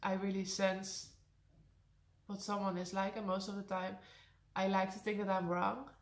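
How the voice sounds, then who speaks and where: A young Dutch woman with a high voice and a Dutch accent, chatting casually through a Q&A, laughing often and slipping in sarcastic asides. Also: little pitch movement, normal speed. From Netherlands. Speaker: vlogger. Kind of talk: casual Q&A to camera. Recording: echoey room.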